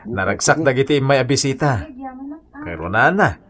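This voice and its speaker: A man playing a cheerful, proud family-man husband in a radio comedy drama, earnest and expressive. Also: medium pitch, moderate pace, a crowd in the background, lightly acted.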